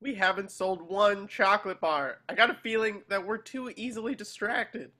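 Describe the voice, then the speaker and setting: A man's voice: high-pitched, normal speed, bright, forceful tone. A man voicing an earnest, eager, boyish cartoon sea sponge in a table read, coaxing as he talks. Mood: hopeful.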